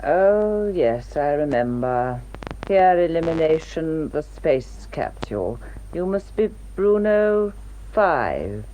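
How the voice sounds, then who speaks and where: An actress playing a composed, professional woman doctor in a radio drama, speaking in a measured, polite way. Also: low voice, sing-song, mellow, a little hiss or hum, full character voice, poor audio. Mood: composed, brisk. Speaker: actor.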